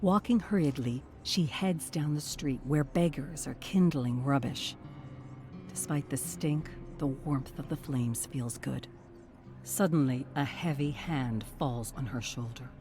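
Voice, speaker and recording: A narrator with a mid-range voice delivers dramatic scripted storytelling. The reading is measured and suspenseful, with faint music underneath. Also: mid-range voice, a little mellow.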